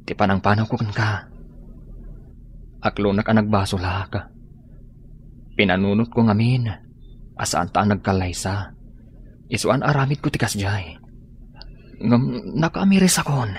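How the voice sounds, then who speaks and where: A remorseful young man with a low, earnest voice. He pleads like a heartbroken lover in an Ilocano radio drama, over faint background noise. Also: measured pace, long pauses. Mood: pleading.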